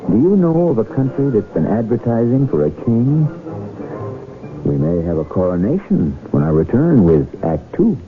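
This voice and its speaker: A man's voice: medium pitch, big pitch swings, normal speed. A man hosting a 1970s radio mystery drama, narrating theatrically and wryly straight to the listener. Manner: wry, theatrical, addresses the listener. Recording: muffled 1970s radio broadcast, music behind. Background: music.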